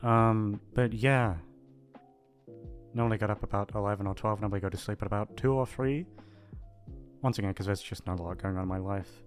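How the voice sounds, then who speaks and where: A man's voice: on the low side, fairly even intonation, fairly quick, pauses often, faint music behind. A man chatting casually to his listeners in a hesitant, self-deprecating, aimless ramble. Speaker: voice actor signing off to listeners. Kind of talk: casual rambling outro chat.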